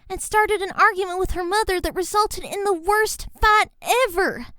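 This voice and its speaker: A woman voicing a young filly pony who tells spooky stories in a slow, theatrical manner. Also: very high-pitched, fairly bright tone, no echo, clean studio sound, full character voice. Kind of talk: dramatic ghost-story telling.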